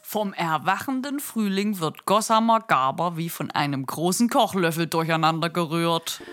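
An actress playing a wry, poetic storyteller, narrating a radio play. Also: female voice, lowish voice, animated, normal speed, a bit bright, faint music behind. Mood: wry, lyrical.

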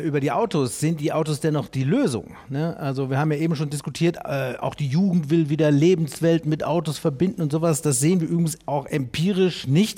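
Higher-voiced male researcher, brisk. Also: warm tone. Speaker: mobility researcher on a panel. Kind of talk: panel discussion. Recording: live radio forum in a reverberant hall.

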